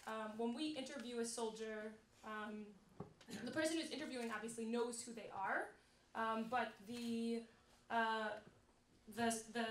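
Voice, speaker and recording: A woman on a panel explaining her organization's work to an audience, steady with light fillers. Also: a little high, a little hiss or hum. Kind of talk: answering audience questions at a panel. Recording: echoey hall, far from mic.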